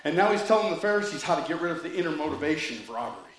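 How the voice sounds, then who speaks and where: A man's voice: a little high, big pitch swings, racing, roomy sound, rough recording. A man preaching a sermon with rambling asides and jokes, reading scripture and telling a story. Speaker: pastor.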